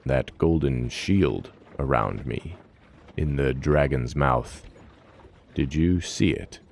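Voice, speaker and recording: Horned warrior played by a man: giant, grave, rumbling very low, slow delivery, fantasy story. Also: gravelly, a little mellow, a little hiss or hum, full character voice. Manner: slow, terse.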